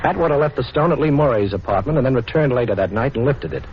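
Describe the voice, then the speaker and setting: A man playing a quick-witted, cool amateur sleuth with a glib, wry manner in a 1940s radio drama. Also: medium pitch, animated, slightly rough. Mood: wry, explaining. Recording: dull, noisy 1940s radio recording.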